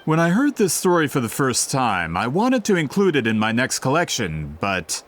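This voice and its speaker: A man's voice: mid-range voice, wildly swooping pitch, moderate pace, fairly bright tone, slight background noise. An actor playing a polite, eager book merchant with a theatrical salesman's manner in a video game. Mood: explanatory.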